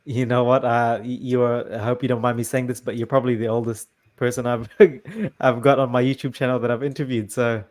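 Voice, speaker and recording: A man's voice: medium pitch, little pitch movement, a little fast, few pauses, a little mellow. A man hosting a casual interview, talking in a hesitant, agreeable way full of restarts. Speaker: host.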